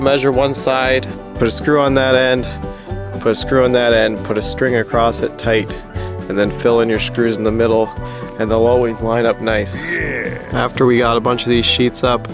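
A man giving a casual, plain-spoken, practical walkthrough as the host of a DIY building vlog. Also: medium pitch. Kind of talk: casual explanatory walkthrough of construction work.

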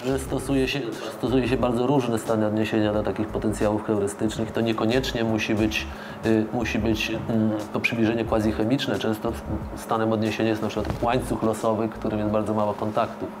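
A middle-aged man, a professor, speaking thoughtfully in an office interview and seminar, pausing and correcting himself. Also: lowish voice, level tone, background noise. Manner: thoughtful, with pauses and self-corrections. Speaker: scientist and professor.

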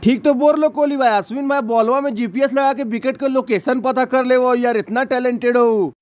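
A man's voice: extremely high pitch, fairly even intonation, fairly quick, darkish tone, dry recording. A man dubbing comic lines over cricket press-conference clips, rambling and joking in a with a close mic. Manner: joking, rambling dialect banter. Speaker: comedy dubber.

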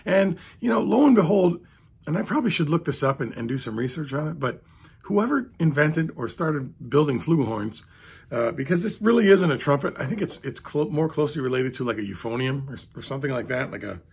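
A hoarse man talking in a rambling, chatty way to the camera from a home music room, with a raspy voice from vocal cord strain. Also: fairly high pitch, lively intonation, a little fast, darkish tone. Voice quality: hoarse, gravelly. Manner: rambling, chatty, self-deprecating. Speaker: trumpet hobbyist vlogger. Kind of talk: casual talk to camera. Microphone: studio mic beside camera.

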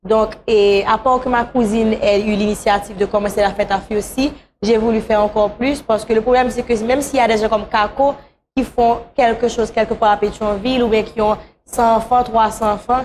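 A woman's voice: a little high, fairly even intonation, fairly quick, darkish tone, slight background noise. A woman, speaking conversationally as a guest in a talk show interview. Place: small talk show studio, on a couch.

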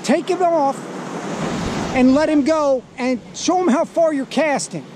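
A man's voice: extremely high pitch, measured pace. A man giving casual running commentary as a fishing vlogger, outdoors on a beach. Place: outdoors on an open ocean beach. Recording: loud wind and surf noise outdoors. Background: waves, surf and wind.

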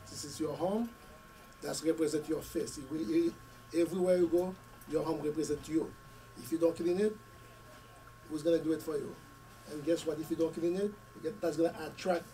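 A hoarse man with a cold speaks earnestly from a podium, setting his words out in short phrases with pauses. His voice sits a little high for a man. The mic picks him up from some distance, with faint room noise under it. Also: fairly even intonation, warm tone. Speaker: school committee member. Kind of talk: community meeting speech. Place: community meeting room. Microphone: podium mic, camera at a distance.

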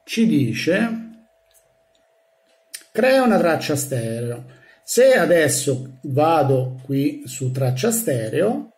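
A man giving an informal hands-on software tutorial, thinking aloud as he narrates each step. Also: a little high. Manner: thinks aloud, narrating each click with small verbal sound effects. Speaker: tutorial presenter. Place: indoors in a room. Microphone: handheld phone filming a laptop screen.

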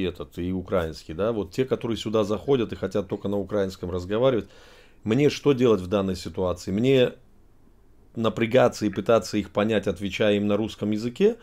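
Man in his forties, low voice, rapid talk, keeps interrupting, pushy questions, webcam in a dark room. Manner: fast, interrupting, pressing questions. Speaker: video chat roulette host. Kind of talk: questioning chat on video roulette. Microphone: webcam on video call.

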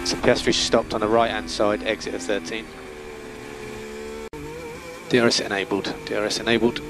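A man working as a race engineer gives calm, methodical, terse instructions over team radio, repeating key calls. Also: lowish voice, moderate pace. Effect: team radio transmission. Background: vehicle hum and noise.

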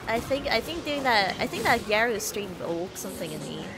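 A woman's voice: on the high side, big pitch swings, fairly quick, loud music behind the voice, low-quality recording. A woman streaming a game, sniffly, teasing her chat in a playful, profane way. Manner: playful, teasing, swears freely. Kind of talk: casual gameplay livestream chat.

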